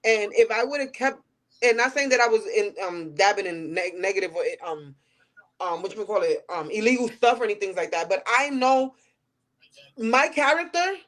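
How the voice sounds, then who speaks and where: A woman's voice: medium pitch, expressive, normal speed, fairly bright tone, poor audio. A woman in her late thirties with a New York accent, speaking candidly as an interview guest and repeating phrases for emphasis. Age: late 30s. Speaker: podcast guest.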